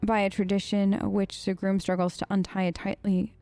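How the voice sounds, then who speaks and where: A woman at middle pitch reads fantasy game lore aloud, then stops to wonder aloud with puzzled questions. The sound is dry and close, with no room around her. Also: level tone, normal speed, dark tone, no echo, soft background music. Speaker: let's play host. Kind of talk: gameplay commentary and reading in-game text aloud.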